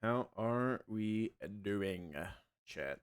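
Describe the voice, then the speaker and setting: Young guy streamer, kinda low voice, bit scratchy from yelling, just rambling to chat. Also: extremely slow, smooth. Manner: relaxed, rambling, talks to chat. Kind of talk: casual livestream chat.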